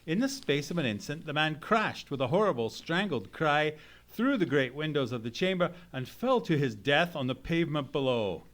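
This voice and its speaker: An actor playing an earnest gentleman sidekick who tells a mystery in a formal, dramatic radio-play style. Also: fairly high pitch, sing-song, moderate pace, background noise. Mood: dramatic, suspenseful. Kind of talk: dramatic narration in old-time radio play.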